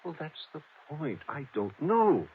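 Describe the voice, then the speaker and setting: An actor playing a grumbling, fretful American insurance executive in an old radio drama, talking bluntly and conversationally. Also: male voice, a little high, very animated, unhurried, husky, heavily acted. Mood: exasperated. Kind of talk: 1950s radio acting. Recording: narrow old radio, faint noise.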